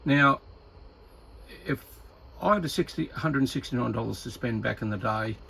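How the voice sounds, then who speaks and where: An Australian man talking casually and unscripted as a hobbyist presenter, rambling and halting as he thinks aloud. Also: medium pitch, vocal fry, rough recording. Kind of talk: casual unscripted product talk. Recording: steady background noise.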